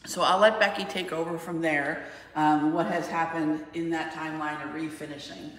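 A woman's voice: low voice, steady tone, moderate pace, fairly bright tone. A middle-aged woman telling a history as an interview guest in a large room indoors. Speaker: guest, outreach and networking representative. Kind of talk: explanatory interview storytelling. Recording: echoey reverberant room.

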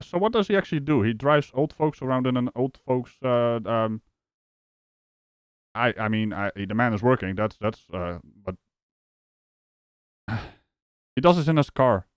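A man from the Netherlands hosting a live stream, reacting to a clip with animated, mocking commentary and frequent laughs. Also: medium pitch, unhurried, pauses often, smooth, dry recording, studio quality. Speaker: streamer host.

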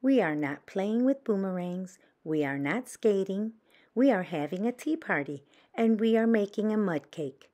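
A woman's voice: mid-range voice, expressive, moderate pace, warm tone, voice pushed up, natural voice. A woman voicing an earnest, trusting young girl badger in a picture book, speaking plainly. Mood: confident. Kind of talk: children's picture book read-aloud.

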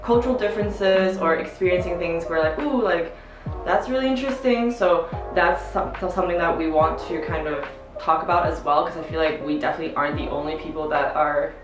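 A young woman in her twenties chats in a loose, rambling way, full of fillers. Her voice sits a bit low. The camera mic is far across an echoey living room, with music playing behind. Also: a little fast. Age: 20s. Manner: chatty, rambling with many fillers and laughs. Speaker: co-host. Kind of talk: casual chat.

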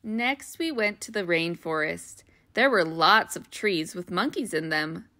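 A woman with a bright, clear voice reads a children's picture book aloud, gentle and expressive for young listeners. The sound is close and dry, with no room around it. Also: lowish voice, measured pace, no echo. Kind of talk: storybook read-aloud.

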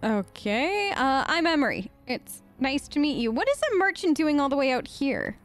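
A woman's voice: high voice, big pitch swings, normal speed, soft background music. A woman doing casual, improvised role-play commentary as a let's play host, conversational, hesitant and often questioning.

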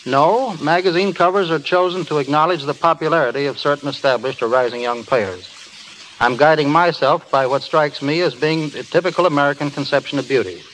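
A man gives formal, scripted answers on a 1930s radio show. His voice sits slightly high, with a light layer of old broadcast noise. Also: a little fast, a bit bright, poor audio. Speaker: magazine editor, interview guest.

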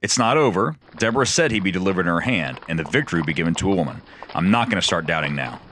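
A man's voice: low-pitched, expressive, racing, sharp tone, a little hiss or hum. A man playing a bold, faithful army commander in an amateur audio drama, confident and emphatic. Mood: determined.

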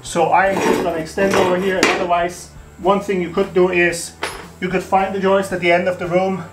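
A man's voice: sits high, level tone, a little fast, very noisy. A man in his thirties hosting a home renovation tutorial, explaining steps casually with fillers and restarts in an empty wooden attic room.

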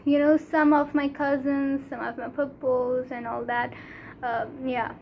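A teenage girl from Pakistan with a Pakistani English accent, Urdu her first language, talking earnestly to a phone camera in a small room, halting, with many fillers. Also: high voice, little pitch movement, darkish tone, a little hiss or hum, poor audio. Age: teens. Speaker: vlogger. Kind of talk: casual talk to camera. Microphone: phone camera at arm's length.

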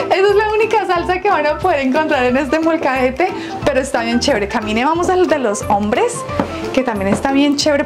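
Upbeat young woman, high-pitched, touring a venue on a lapel mic with music underneath. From Colombia. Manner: chatty, playful, laughs at her own jokes. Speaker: vlogger touring a restaurant. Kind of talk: casual walk-through vlog. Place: large colorful restaurant interior, indoors.

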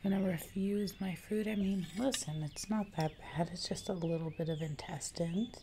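A woman's voice: fairly low pitch, normal speed, mellow, lightly acted. A young woman playing a haughty, menacing jungle giantess queen in a whispered, condescending ASMR roleplay, close to the mic. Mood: coaxing, imperious. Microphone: close mic in front of camera. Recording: close mic with audible background noise.

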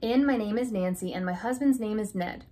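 A young woman reading a children's alphabet picture book aloud, slow and deliberate, stressing each opening letter, in a small room. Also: medium pitch, warm tone. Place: small room indoors by a curtained window.

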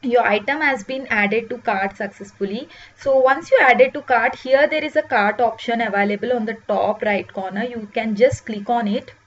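A woman presenting a food ordering web app, explaining each step with many "uh" pauses. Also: on the high side. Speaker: software demo presenter. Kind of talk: screen-recorded product walkthrough. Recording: dull lo-fi voice-over with faint hiss.

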